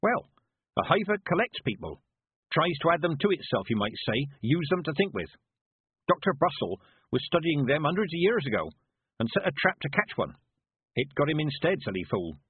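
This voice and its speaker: An imperious old witch from a storybook, acted out by a male reader. She is brisk and stern, with a raised, very animated voice. The recording is thin and band-limited. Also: fairly high pitch, breathy edge, no echo, phone-quality sound, voice pushed up, heavily acted. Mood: matter-of-fact.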